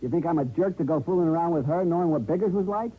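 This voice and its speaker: Brusque fast-talking man, tinny old radio. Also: a little high, slightly rough. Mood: defensive. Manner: brusque, colloquial. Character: testy, defensive man in a small Western town. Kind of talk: 1950s radio drama acting.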